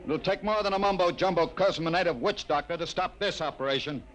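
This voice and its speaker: A man's voice: on the high side, fast, close to an everyday voice. A man playing a stern, impatient army general who gives clipped orders, in an old radio drama. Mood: commanding. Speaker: general commanding a bomb test. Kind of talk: 1950s radio drama acting. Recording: old radio recording with noisy background.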